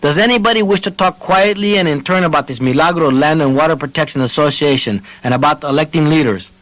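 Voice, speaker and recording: Man reading a calm, higher-pitched chairwoman. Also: fast, no room sound, close to an everyday voice, poor audio. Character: calm, wary woman chairing a village meeting.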